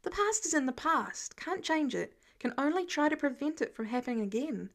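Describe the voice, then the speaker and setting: Woman playing teenage boy, earnest, awkward, understated, higher voice, audiobook dialogue. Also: lively intonation, normal speed.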